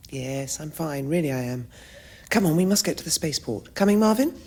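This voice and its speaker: A resolute, weary space adventurer speaks in a husky, breathy voice. Her radio comedy delivery moves widely in pitch. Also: lively intonation, brisk, darkish tone. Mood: weary, determined. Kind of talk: radio comedy drama acting.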